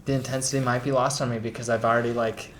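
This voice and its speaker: A man's voice: medium pitch, little pitch movement, quick pace, a little hiss or hum. A man chatting casually as a guest, in a laid-back, tentative, questioning way. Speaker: guest reacting to a reading. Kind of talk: casual chat. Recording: echoey room with voices behind.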